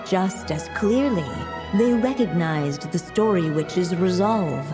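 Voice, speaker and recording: A woman narrating a storybook tale in a video game, in measured, composed, literary phrases. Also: fairly low pitch, long reverb tail.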